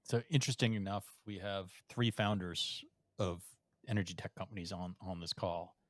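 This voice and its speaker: A man's voice: deep voice, fairly even intonation, moderate pace, no room sound. A man hosting a podcast, talking in a conversational way and thinking aloud with "um" before asking a question. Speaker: podcast host. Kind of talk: podcast interview.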